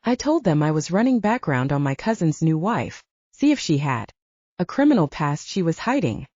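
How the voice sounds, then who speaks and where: A woman's voice: low-pitched, very animated, moderate pace, a little mellow, no echo, muffled recording. A woman voicing a defiant, defensive younger werewolf brother, a terse and stubborn lawman, in an audiobook. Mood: guarded.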